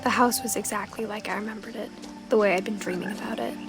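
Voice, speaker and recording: A young woman voicing a reflective seventeen-year-old girl, narrating a story game in a soft, measured, wistful way. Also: medium pitch, steady tone, natural voice. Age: young adult. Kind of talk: scripted first-person game narration. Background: prominent music.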